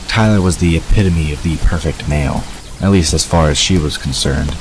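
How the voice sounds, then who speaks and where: A man's voice: a bass voice, brisk. A man reading scripted story narration for an audio drama. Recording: noisy, rain-like hiss behind.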